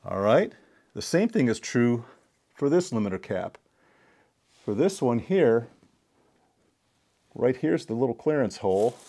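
A middle-aged man giving a casual, deliberate, step-by-step hands-on repair tutorial. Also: medium pitch, big pitch swings, mellow. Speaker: DIY repair demonstrator. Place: indoor home workshop.

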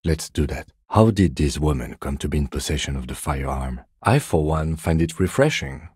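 A man voicing a composed, methodical police detective in a video game, speaking in a measured, formal, understated way. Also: a bass voice, animated, darkish tone, breathy edge, dry recording, clean studio sound, heavily acted. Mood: dry, businesslike. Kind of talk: video game character dialogue.

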